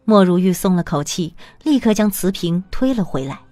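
A woman narrating an audio drama in an even, measured storytelling voice. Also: lowish voice, no echo.

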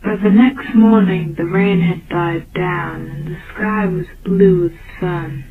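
Female storyteller for an eerie children's tale: unhurried, soft and half-whispered, on a thin, noisy old tape. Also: fairly low pitch. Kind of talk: scripted narration of a dark children's tale. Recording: muffled, noisy old tape, phone-like band.

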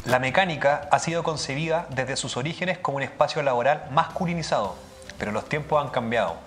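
A man giving measured, formal scripted narration for a documentary series. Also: medium pitch, a bit bright.

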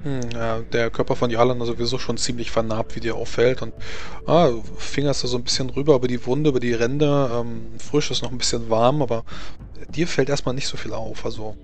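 Casual male game master, mid-pitched. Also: fairly even intonation, fairly quick. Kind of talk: improvised tabletop game narration.

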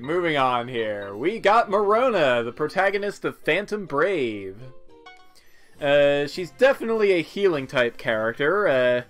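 A man's voice: fairly high pitch, fairly bright tone. A man giving casual, offhand video game commentary with wry asides. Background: game music.

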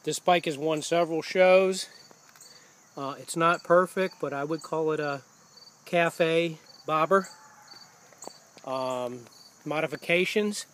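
A man's voice: on the high side, slow pace, pauses often, slight background noise. A man casually talking through his motorcycle outdoors, explaining details as he films with a handheld camera. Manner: relaxed, plain-spoken, explaining as he goes. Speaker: motorcycle owner. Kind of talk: casual walkaround narration. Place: outdoors beside a parked motorcycle. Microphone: handheld camera or phone.

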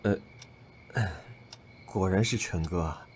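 A man's voice: deep voice, takes it slowly, darkish tone, slight background noise. An actor playing a shrewd, probing young man in a Chinese audio drama. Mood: probing, coaxing.

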